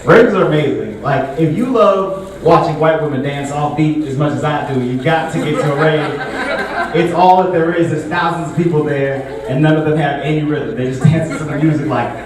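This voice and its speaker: A young man performing a stand-up comedy set in a relaxed, conversational way, full of filler words. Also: fairly high pitch, normal speed, crowd noise. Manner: laid-back, conversational, frequent filler words. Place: comedy show venue with a live audience. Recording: echoey room, distant mic, audible noise.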